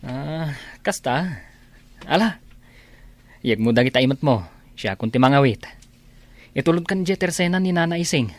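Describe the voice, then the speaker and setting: A man's voice: on the high side, expressive, moderate pace, pauses often, natural voice. A Filipino man with an Ilocano accent playing a caring, frank friend in an audio drama, speaking colloquially and expressively. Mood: concerned, bashful. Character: caring, frank male friend, secretly tender.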